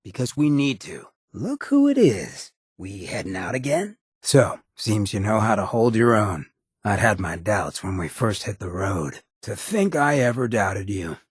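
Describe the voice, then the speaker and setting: A male actor voicing a roguish, streetwise ghoul companion in a video game, blunt but loyal, with a gravelly rasp and colloquial speech. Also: fairly low pitch, very animated, measured pace, dry recording, studio quality, exaggerated voice. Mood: direct, casual. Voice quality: raspy, gravelly. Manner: streetwise, colloquial, swearing drawl. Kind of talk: video game dialogue acting.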